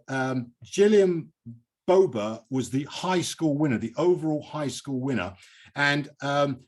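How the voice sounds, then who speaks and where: Husky British man hosting. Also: mid-range voice, a little mellow. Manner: effusive, chatty. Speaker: host of a poetry reading. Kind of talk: casual event hosting.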